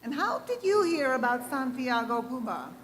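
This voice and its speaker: A woman's voice: high-pitched, sing-song, normal speed, a bit bright. An actress playing a journalism professor in her fifties with a Cuban accent, formal and polite with a dry tease. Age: 50s. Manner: formal, polite, dryly teasing. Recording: long hall reverb.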